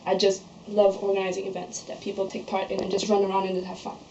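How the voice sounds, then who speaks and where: A woman's voice: mid-range voice, monotone, fast talker, mellow, slight background noise. A young woman from Malaysia, a student standing for a society post, talking through her nomination speech and answering questions in a chatty way full of fillers. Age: young adult. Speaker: candidate for student society office. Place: student society meeting room. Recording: echoey room, dull lo-fi sound.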